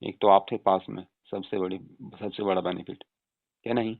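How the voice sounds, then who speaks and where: An easygoing grown son acted by a man chats informally on a tinny phone line. His voice sits fairly low, with plenty of gravelly creak. He talks reassuringly to his mother, often checking that she agrees. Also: flat delivery, moderate pace, dry recording. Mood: casual, persuading. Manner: informal, chatty, often seeking agreement with tag questions. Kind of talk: staged casual phone conversation in Hindi. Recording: tinny, band-limited phone call recording.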